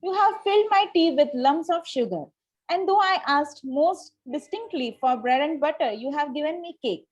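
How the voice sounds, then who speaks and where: Haughty society girl, Victorian stage comedy, played by a woman, very high voice, formal and imperious delivery. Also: lively intonation, normal speed, poor audio. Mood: indignant. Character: haughty, indignant young Victorian lady of fashion. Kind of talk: amateur table read of a stage comedy.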